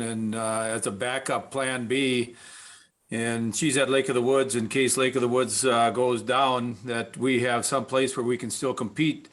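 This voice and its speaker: Medium-pitched man speaking up. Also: a bit bright. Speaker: meeting participant. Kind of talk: informal remarks in a meeting.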